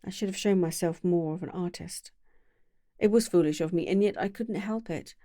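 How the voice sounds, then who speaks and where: A woman's voice: fairly low pitch, moderate pace, mellow, dry recording, clean studio sound, close to an everyday voice. A woman voicing a heartbroken young actress who pleads desperately. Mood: pleading.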